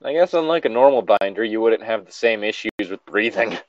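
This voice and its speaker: A man's voice: lowish voice, animated, a little fast, dry recording, dull audio. A man casually reading a story aloud and breaking off with exasperated, incredulous, sweary commentary.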